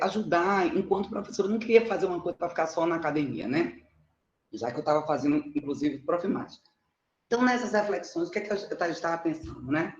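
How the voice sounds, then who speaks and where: A woman's voice: fairly low pitch, darkish tone. A middle-aged woman from Salvador with a Bahian Brazilian accent gives an informal online presentation as a teacher, chatty and self-deprecating, often checking with her listeners. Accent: Bahian (Salvador) Brazilian Portuguese. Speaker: mathematics teacher presenting research. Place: indoors, on an online conferencing platform. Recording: muffled, roomy online call audio.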